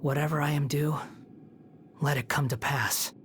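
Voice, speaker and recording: A woman's voice: sits low, steady tone, normal speed, slight background noise, close to an everyday voice. A woman playing a brooding, proud and bitter young male puppet in a video game, solemn and terse with a sardonic edge. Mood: solemn, resolute.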